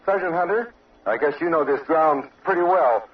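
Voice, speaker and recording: A man's voice: fairly high pitch, animated, moderate pace. Male field reporter, muffled and distant. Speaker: radio reporter, interviewer. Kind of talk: on-location news interview. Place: outdoor observation post. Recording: dull, distant old radio field recording.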